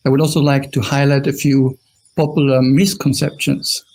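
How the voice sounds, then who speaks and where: A man's voice: medium pitch, flat delivery, normal speed, creaky, darkish tone. A man with an Austrian accent giving an informal Buddhist talk as a monk, conversational and often turning to his listeners with questions. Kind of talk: informal dhamma talk to an online audience.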